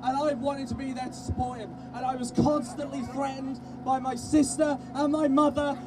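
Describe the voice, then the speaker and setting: A man's voice: extremely high pitch, little pitch movement, moderate pace. A man from Norwich giving an impassioned, emotional speech at an outdoor rally. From Norwich, England. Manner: emotional, raises voice to a shout. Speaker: rally supporter speaking to the crowd. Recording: loud crowd and street noise.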